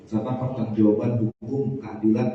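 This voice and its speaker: Male police spokesman, formal and halting, low-pitched, boomy distant hall recording. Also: little pitch movement. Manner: formal, halting official delivery. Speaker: police spokesman at press conference. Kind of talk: press briefing answering reporters. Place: large echoey room, through PA microphone. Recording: boomy echoing hall, muffled, faint noise.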